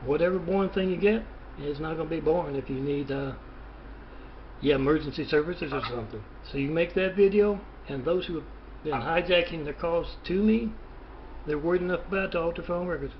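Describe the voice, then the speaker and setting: Halting, higher-voiced man on noisy phone call. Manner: halting, hesitant, rambling. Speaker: interviewee. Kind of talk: rambling phone interview.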